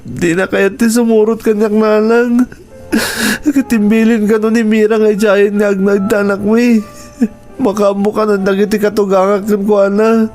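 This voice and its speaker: A man's voice: high voice, flat delivery, normal speed, darkish tone, lightly acted. A man acting a remorseful husband whose wife has left him, in an Ilocano radio drama with an Ilocano accent. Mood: remorseful, pleading. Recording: radio drama with music underneath.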